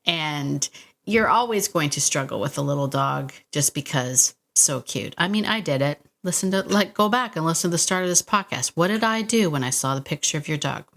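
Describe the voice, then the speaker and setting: A woman with a somewhat low voice hosts a solo podcast. She speaks straight to the listener in a casual, chatty way, cutting into her own sentences as she goes. The delivery is informal and encouraging. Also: expressive, moderate pace, vocal fry, a bit bright. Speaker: podcast host and dog trainer.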